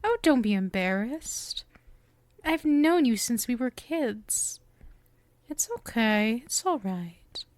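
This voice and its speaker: Woman acting a slow, coy, lovestruck witch. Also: on the high side, lively intonation, dry recording. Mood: soothing, tender. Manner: coy, teasing, affectionate, theatrical. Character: sweet, possessive, lovestruck witch shopkeeper, young woman. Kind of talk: ASMR roleplay, intimate scripted acting.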